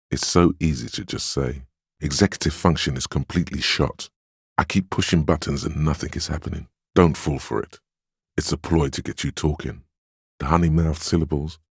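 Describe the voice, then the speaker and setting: The grave inner voice of a middle-aged man's willpower for a video game. It is extremely low and a little husky, recorded close and dry. The delivery is measured and admonishing, with a dry wit and a protective firmness. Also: a little mellow, no room sound, exaggerated voice. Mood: wary, firm. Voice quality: very deep, solemn. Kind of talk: scripted game narration in second person.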